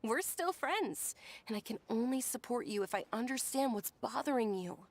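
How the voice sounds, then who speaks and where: A gentle, earnest friend. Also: female voice, fairly high pitch, animated, moderate pace. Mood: concerned. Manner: gentle and sincere. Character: earnest, caring young woman friend. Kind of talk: game cutscene dub.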